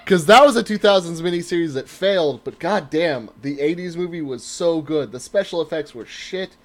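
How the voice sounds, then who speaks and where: A man in his thirties chatting casually as a podcast co-host, telling stories in an animated way with emphatic swearing. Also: a little high, moderate pace. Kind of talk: casual banter, profane chat.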